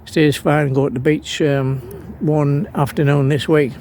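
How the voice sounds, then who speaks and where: Slow, creaky-voiced man thinking aloud. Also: fairly high pitch, fairly even intonation, a little mellow, slight background noise. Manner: hesitant, thinking aloud as he works. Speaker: amateur radio hobbyist demonstrating his setup. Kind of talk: unscripted how-to vlog narration.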